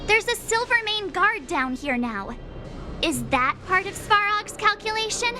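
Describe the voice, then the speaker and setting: A woman's voice: extremely high pitch, big pitch swings, a little slow, over music, exaggerated voice. A woman voicing an outspoken, chatty teenage girl adventurer in a video game. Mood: assertive. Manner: chatty, assertive, upbeat.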